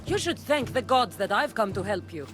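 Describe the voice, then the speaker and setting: A woman's voice: mid-range voice, very animated, normal speed, a bit bright. A woman acting as a hardened young mercenary of ancient Greece in a video game, with a blunt, dry, self-assured manner. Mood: firm, defiant. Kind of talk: cinematic video game dialogue. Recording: music behind the voice. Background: game soundtrack music.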